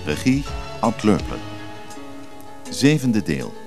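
A man with a very low voice reads scripted narration as the announcer of a radio drama. Music plays clearly behind him. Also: sing-song, takes it slowly. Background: prominent music.

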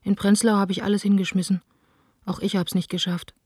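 A woman's voice: medium pitch, level tone, fairly quick, a little mellow, slightly rough, no echo, studio quality, lightly acted. An actress playing a disheartened young theatre director, reading her letters intimately in a radio drama. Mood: shaken, pleading.

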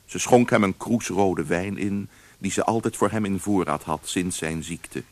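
A very low, gravelly man's voice tells the story. It is scripted narration for a radio drama. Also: measured pace.

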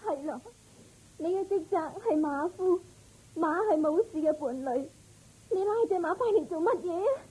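Woman playing a fretful, high-voiced queen. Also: animated, moderate pace, mellow, low-quality recording. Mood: reproachful. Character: fretful queen, worried mother of a prince. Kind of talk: radio drama acting.

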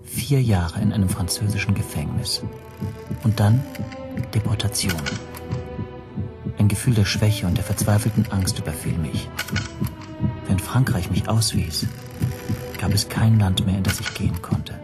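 An anxious, brooding stateless man tells his own story in a radio drama. His voice sits on the low side and he questions himself as he goes. Music plays clearly behind him. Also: unhurried, long pauses. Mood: fearful. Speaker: first-person narrator and protagonist. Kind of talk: German radio drama narration.

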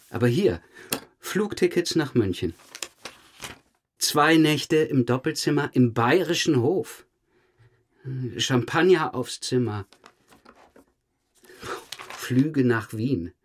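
An actor playing a dogged police detective questioning a suspect in a German crime audio drama, matter-of-fact and dryly pointed. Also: male voice, mid-range voice, expressive, takes it slowly, long pauses, a little hiss or hum. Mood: sardonic, pressing. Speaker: police investigator.

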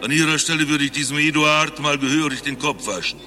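An actor playing an eccentric doctor who claims to be Rasputin reborn, telling his tale in a deadpan, grandiose way in a satirical radio play. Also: on the high side, fairly quick, faint music behind. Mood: brazen, reproachful. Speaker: doctor recounting his past life.

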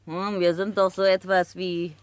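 Eine Schauspielerin spielt in einem Krimihörspiel eine dreiste, ordinäre Erpresserin, die höhnisch stichelt und keift. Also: female voice, fairly low pitch, level tone, measured pace, dry recording, natural voice. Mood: sneering, mocking. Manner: sneering, taunting. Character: brazen, mocking female blackmailer, coarse and shrill-tempered. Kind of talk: German radio crime drama acting.

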